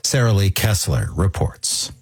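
A man reading radio news headlines in a crisp, measured way. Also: low-pitched, big pitch swings, no room sound, studio quality.

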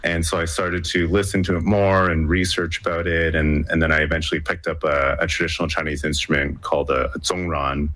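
A man's voice: very deep voice, level tone, brisk, few pauses, fairly bright tone. A young man from Hong Kong, a musician, talking casually in an interview with many fillers and restarts. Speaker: musician guest.

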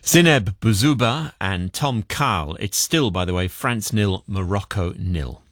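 A man's voice: lowish voice, animated, measured pace, fairly bright tone, no room sound, clean studio sound. A man working as a radio presenter, interviewing guests and presenting the news in a broadcast style.

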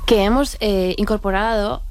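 A woman's voice: mid-range voice, normal speed, slight background noise. A woman explaining things informally as an interview guest, often adding tag questions. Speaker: interview guest, heritage expert and author. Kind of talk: conversational explanation.

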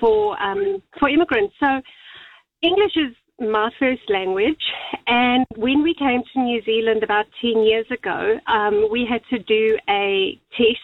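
A woman's voice: on the high side, measured pace, dry recording. A woman calling a radio show by telephone, giving a conversational personal account. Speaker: radio phone-in caller. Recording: thin, narrow telephone line.

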